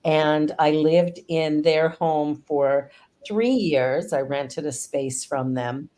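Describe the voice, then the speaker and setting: Low-voiced effusive woman host. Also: slow, warm tone. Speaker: host and spiritual director. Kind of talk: casual interview chat.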